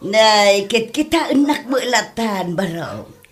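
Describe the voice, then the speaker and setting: An actress with an Ilocano accent playing a flirty widowed street seafood vendor in a radio comedy drama. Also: female voice, medium pitch, animated, takes it slowly, slight background noise. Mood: coaxing.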